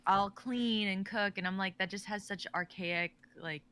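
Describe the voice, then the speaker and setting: A woman's voice: mid-range voice, normal speed, a bit bright, clear, resonant tone. A woman chatting casually and animatedly, quoting others in mock voices. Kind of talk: casual chat.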